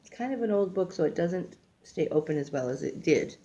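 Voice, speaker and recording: An older woman reads a picture book aloud like a grandmother, haltingly, stumbling over words and adding casual asides. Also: fairly low pitch, lively intonation, dark tone, muffled recording. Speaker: grandmother reading a storybook aloud.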